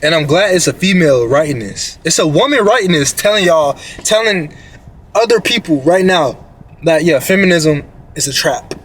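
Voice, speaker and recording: A man's voice: fairly high pitch, lively intonation, normal speed, a bit bright, husky. A man co-hosting a casual reaction commentary, slangy and rambling, talking straight to his audience. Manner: slangy, rambling storyteller who addresses the audience. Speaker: commentary co-host.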